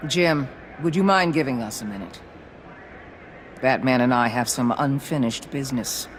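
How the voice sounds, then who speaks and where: An actor playing a measured, proud official in a video game, speaking in a formal, controlled way. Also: male voice, mid-range voice, fairly bright tone, natural voice. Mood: measured, reserved. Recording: clear outdoor traffic noise behind.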